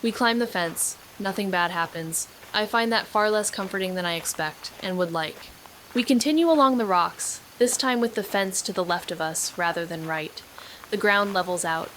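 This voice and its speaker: A woman's voice: mid-range voice, moderate pace, fairly bright tone, slight background noise, natural voice, poor audio. A woman voicing a wry young amateur sleuth who narrates a noir audio drama with dry, sardonic remarks. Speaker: narrator and investigator.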